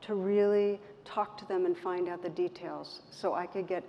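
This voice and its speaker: Soft spoken lady meditation teacher, mellow but a bit bright, talking slowly w pauses in a big echoey hall, some noise. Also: lowish voice, steady tone. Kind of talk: reflective talk in an on-stage conversation. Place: event stage in a hall. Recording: roomy hall, muffled, background noise.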